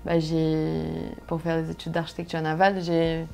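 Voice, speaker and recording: A young woman from Brazil, a native Portuguese speaker with a Brazilian accent, telling her story conversationally and haltingly as she searches for words. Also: low-pitched, monotone, gravelly, darkish tone, clear, resonant tone. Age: young adult. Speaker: interview guest, solo sailor.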